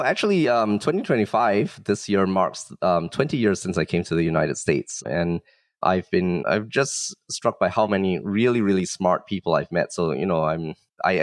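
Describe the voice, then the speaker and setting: Low-voiced man from Singapore, close and dry. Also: no room sound. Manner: modest, explanatory, with frequent "like" and "you know" hedging. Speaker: startup founder, guest. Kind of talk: casual podcast interview.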